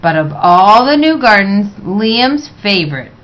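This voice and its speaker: A woman reading a children's picture book aloud in a measured, expressive way. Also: medium pitch. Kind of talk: read-aloud children's story narration. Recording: dull, lo-fi sound.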